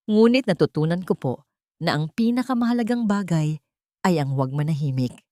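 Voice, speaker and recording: A woman voicing a gentle, grateful elderly grandmother in an audio story, soft but firm. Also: lowish voice, animated, fairly quick, no room sound, clean studio sound, close to an everyday voice.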